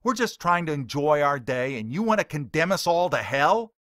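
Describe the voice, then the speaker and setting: Brief: male narrator playing a hostile heckler, sharp, accusing, pitched up and loud. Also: sing-song, normal speed, dry recording, clean studio sound. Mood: angry. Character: hostile young male heckler, bold and scornful.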